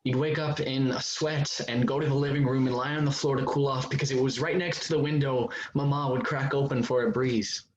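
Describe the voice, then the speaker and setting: An actor in a staged drama reading, playing an intense, menacing older brother back from war who shifts between pleading and accusing. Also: male voice, mid-range voice, close to an everyday voice. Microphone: video call. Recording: muffled, noisy video call audio with clicks.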